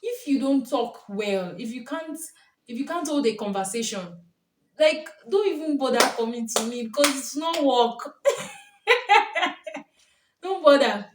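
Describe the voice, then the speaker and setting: A woman's voice: high voice, lively intonation, unhurried, long pauses, slight background noise. A young Nigerian woman with a Nigerian accent, a Yoruba speaker, telling stories and giving casual relationship advice to camera, laughing often. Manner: chatty storytelling, often laughing. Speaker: vlogger and coach. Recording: roomy, from a distance.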